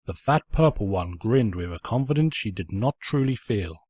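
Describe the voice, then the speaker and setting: Casting: male story narrator, raspy, mock-pompous and sardonic, very dry close recording. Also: on the low side, lively intonation, moderate pace, a little mellow, no echo. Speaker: narrator, fan fiction reader. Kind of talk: theatrical scripted story narration.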